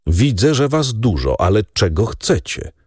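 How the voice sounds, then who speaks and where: Man reading as a low, stern aristocratic lord. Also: very animated, darkish tone, slightly rough, no echo, clean studio sound, exaggerated voice. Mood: cold, firm. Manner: measured, cold, authoritative. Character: stern, calm, commanding aristocratic male estate lord.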